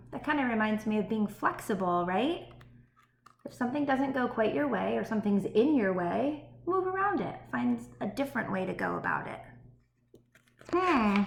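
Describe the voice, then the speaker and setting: A woman's voice: fairly high pitch, animated, moderate pace, creaky. A woman speaking as an elementary school teacher to young pupils, encouraging and child-directed, checking in with little questions as she reads aloud and chats. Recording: roomy echo, faint background noise.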